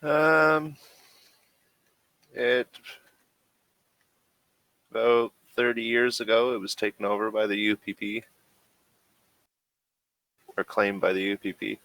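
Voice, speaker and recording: A man runs a tabletop role-playing game as its game master. His voice is fairly low and forceful, and he talks very slowly, in a halting, deliberate way. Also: steady tone, no room sound, low-quality recording.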